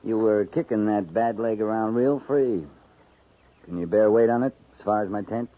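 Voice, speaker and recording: A man acting out a gruff cavalry captain in an old radio play, his voice on the low side and his delivery a dry, quiet drawl. The sound is narrow and tinny, like a vintage broadcast over a phone-like line. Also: moderate pace, a little hiss or hum, lightly acted. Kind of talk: 1950s radio drama acting.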